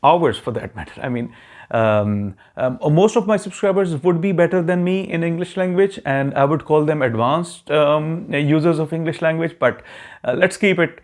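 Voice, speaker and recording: A man's voice: fairly high pitch, normal speed, a little mellow. Man explaining, peppered with "okay?". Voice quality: non-native English speaker, self-described neutral accent. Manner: frequent "okay?" check-ins, fillers and restarts. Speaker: YouTube channel host giving immigration advice. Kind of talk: casual explanatory talk to viewers.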